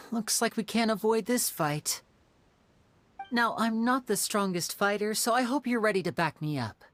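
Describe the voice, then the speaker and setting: A woman voicing a composed, courteous, shrewd young character in a video game, measured and wry. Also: a little high, animated. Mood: resigned, ready. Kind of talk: English video game voice acting.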